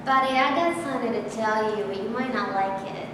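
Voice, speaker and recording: Woman as brazen buddy, very high voice. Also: brisk, close to an everyday voice. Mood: matter-of-fact. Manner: blunt, dismissive. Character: Harry, brazen, unapologetic male buddy. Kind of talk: amateur staged play reading. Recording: echoey hall, distant mic, faint noise.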